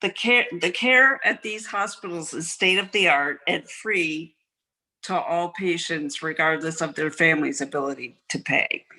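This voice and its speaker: An older woman giving earnest, measured introductory remarks over a video call, as if reading from notes. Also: deep voice, sharp tone, low-quality recording. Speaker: nominator introducing the honoree.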